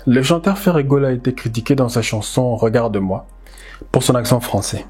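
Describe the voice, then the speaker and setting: A man from the DR Congo, a language teacher, giving animated, emphatic commentary and repeating words as he thinks aloud. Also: medium pitch, fairly quick, soft background music. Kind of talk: casual commentary video.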